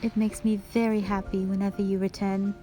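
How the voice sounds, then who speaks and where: A woman playing a gentle, devoted dragon wife in a romantic audio roleplay, speaking tenderly in formal, old-fashioned phrasing. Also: mid-range voice, level tone, a little fast, lightly acted. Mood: tender, happy. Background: music.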